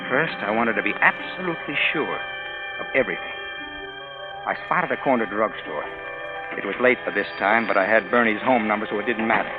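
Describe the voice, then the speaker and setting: A man's voice: lowish voice, fairly quick, natural voice. An actor playing a greedy, scheming American husband in a vintage noir radio drama, narrating and acting his part. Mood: brooding, calculating. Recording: muffled old radio broadcast with music.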